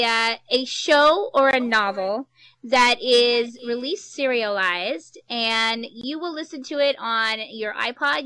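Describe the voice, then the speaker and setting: Woman, panel moderator, smooth clear tone, fairly high pitch, informal presentation, chatty. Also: pushed and bright, no room sound, rough recording.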